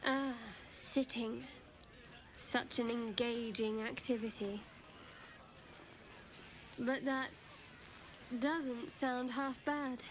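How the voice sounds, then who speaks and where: A woman's voice: fairly high pitch, fairly even intonation, very slow, long pauses, slight background noise. An actress playing a haughty, bored female warrior in a game, with dry, scornful sarcasm. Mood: dry, teasing.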